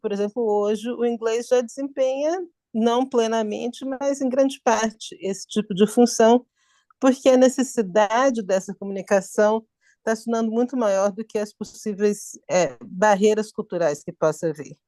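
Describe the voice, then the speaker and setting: A woman panelist speaking in a measured, analytical way. Her voice is mellow. The sound is dry. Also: on the high side, dry recording. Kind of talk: panel discussion.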